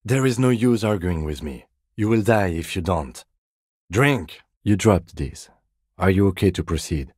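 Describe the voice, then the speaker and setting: A man playing a calm, dignified middle-aged police lieutenant in a video game, speaking in a measured, precise, deadpan way. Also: deep voice, breathy edge, dry recording, clean studio sound, full character voice. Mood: firm, dry, then quietly concerned. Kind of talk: video game character dialogue.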